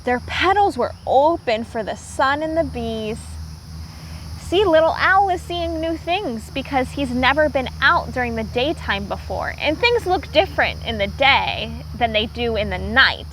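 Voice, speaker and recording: A woman with a high, expressive voice reads a children's story at an unhurried pace. She stops to explain words in simple terms, like a teacher, over faint background noise. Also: low-quality recording. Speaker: teacher reading aloud to young children.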